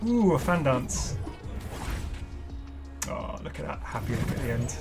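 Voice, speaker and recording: A man's voice: medium pitch, sing-song, unhurried, over loud music. A man hosting a gaming channel, giving casual, unscripted commentary in a chatty, enthusiastic way and prone to exclaiming. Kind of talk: casual unscripted reaction commentary on game cosmetics.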